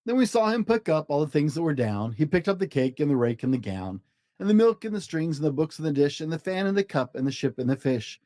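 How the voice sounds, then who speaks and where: A man reads a storybook aloud for children. His delivery is expressive and emphatic, with lively swings in pitch, in a dry, close recording. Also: quick pace, no room sound. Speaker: storybook reader, host.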